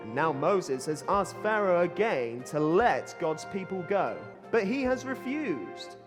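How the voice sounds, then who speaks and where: A man's voice: sits high, big pitch swings, a little slow. A man playing a cheesy news anchor, presenting a scripted mock newsreel in an announcerly, punning style. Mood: announcing. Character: cheesy male newsreader anchor delivering dad-joke bulletins. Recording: long hall reverb, loud music bed.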